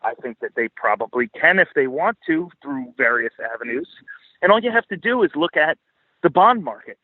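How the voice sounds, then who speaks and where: Blunt, higher-voiced man on phone-like line. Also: animated, normal speed, dry recording. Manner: blunt, emphatic, profane. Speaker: podcast guest and financial market commentator. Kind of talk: heated opinionated interview commentary. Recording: band-limited phone-like line, dry.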